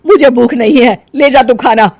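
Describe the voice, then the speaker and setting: A woman's voice: fairly high pitch, normal speed, a little mellow, no room sound, pitched above the performer's own voice. A woman voicing an older, emotional mother-in-law in a cartoon story, scolding and plaintive by turns. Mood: stubborn, scolding. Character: older stubborn, emotional mother-in-law pining for her son. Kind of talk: animated cartoon story dialogue.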